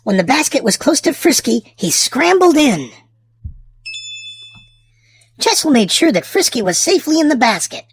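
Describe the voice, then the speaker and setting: A woman hosting and narrating a children's storybook aloud, with theatrical, drawn-out emphasis for small children. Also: high-pitched, very animated, slightly rough. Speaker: children's storybook reader and channel host. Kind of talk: expressive read-aloud narration for young children.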